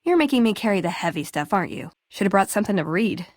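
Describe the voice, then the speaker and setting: A woman's voice: mid-range voice, sing-song, quick pace, darkish tone, dry recording, studio quality. An American actress playing a spirited, sarcastic young woman, a scrappy scavenger and brawler companion in a video game. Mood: wry, playful. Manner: sarcastic, playful, quippy. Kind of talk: video game dialogue acting.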